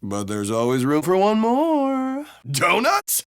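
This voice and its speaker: An actor playing a foul-mouthed, sardonic and excitable American city cop in a video game. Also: male voice, high voice, slow, smooth, dry recording, clean studio sound, heavily acted. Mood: giddy excitement. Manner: animated, sardonic, profane cop banter. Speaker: video game police officer. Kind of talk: game voice lines, banter and barks.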